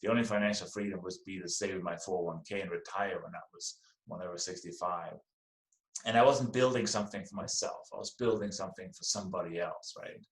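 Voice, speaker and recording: A middle-aged man with a Danish accent talks in a relaxed, conversational way, often circling back on his words. His voice sits fairly low. The sound is roomy and echoey, like a podcast recorded in a bare room. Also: fairly quick. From Denmark. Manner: easygoing, repeats words as he gathers thoughts. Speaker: podcast guest. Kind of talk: casual interview chat.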